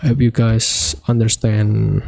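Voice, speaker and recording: Slow, gravelly man. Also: fairly low pitch, level tone, a little mellow. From Indonesia. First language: Indonesian. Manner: halting, hesitant non-native phrasing. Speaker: coding tutorial host. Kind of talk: screen-recorded coding walkthrough.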